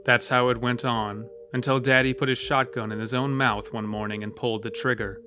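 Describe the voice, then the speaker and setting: A man's voice: mid-range voice, little pitch movement, a little fast. A man narrating a scripted horror story as the storyteller of an audio drama. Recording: music bed under the voice. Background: loud music.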